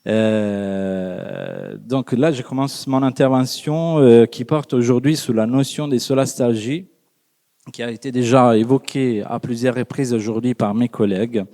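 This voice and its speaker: An Italian-accented man delivers a read-out lecture in a reverberant conference hall. His voice is dark and mellow, and he speaks carefully, pausing and correcting his own words. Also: mid-range voice. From Italy. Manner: careful, with frequent hesitations and self-corrections. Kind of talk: reading a prepared academic talk.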